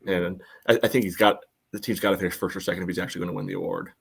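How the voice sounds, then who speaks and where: Deep-voiced man giving casual sports analysis. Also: big pitch swings, very fast, creaky, slightly rough. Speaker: podcast panelist giving analysis.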